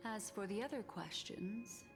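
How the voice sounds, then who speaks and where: An actress plays a shrewd, enigmatic woman advisor from a sci-fi fantasy game. She speaks at a middle pitch, measured and articulate, with calm composure. Her voice hangs in a long reverb over clearly audible game music. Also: lightly acted. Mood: calm, knowing. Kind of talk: scripted video game dialogue.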